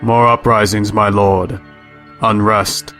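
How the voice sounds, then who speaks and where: A man's voice: on the low side, level tone, slow pace, bright, forceful tone, soft background music. A man playing a grave, formal counsellor to a lord in an audio drama.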